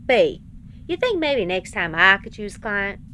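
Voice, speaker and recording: Casting: actress as folksy female space drifter, soft-hearted and playful, swooping pitch, unhurried drawl, sci-fi audio drama. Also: sing-song, a little hiss or hum, heavily acted. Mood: doubtful, playful. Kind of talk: science fiction audio drama acting.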